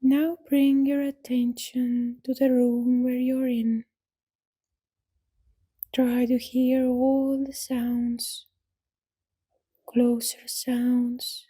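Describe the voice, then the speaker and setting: A woman guides a deep relaxation session extremely slowly, leaving long gaps between short, gentle phrases. Her voice is soft and breathy, with a very even, unchanging melody and a dark, relaxed tone. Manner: soothing, gentle instruction with long pauses. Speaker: yoga nidra guide. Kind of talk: guided relaxation meditation, scripted.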